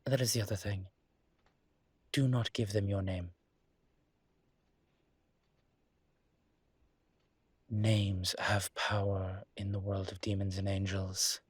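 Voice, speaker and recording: A refined and wary ancient demon professor, acted by a man, speaks slowly and close in a very dry recording. The voice is husky, with formal, wry phrasing and halting pauses, in the style of an intimate audio roleplay. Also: fairly low pitch, dry recording. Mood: grave.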